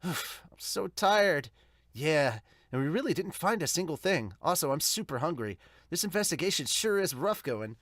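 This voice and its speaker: A man's voice: a little high, expressive, normal speed, fairly bright tone, no echo, studio quality. Man voicing an earnest, flustered young woman detective. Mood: weary. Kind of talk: amateur manga dub.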